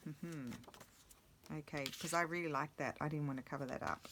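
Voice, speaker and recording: A woman vlogging while she crafts, chatting casually and thinking aloud, her voice a little croaky from the morning. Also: sits low, steady tone, moderate pace, a bit bright, a little hiss or hum. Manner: rambling, self-interrupting, thinking aloud.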